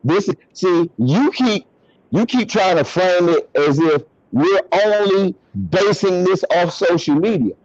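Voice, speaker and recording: A man's voice: expressive, unhurried, a little mellow, no echo, dull audio. A man hosting a heated commentary debate over a call, emphatic and profane, cutting in to make his point. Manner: emphatic, profane, interrupts, pitch jumps when worked up. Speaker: host of commentary channel.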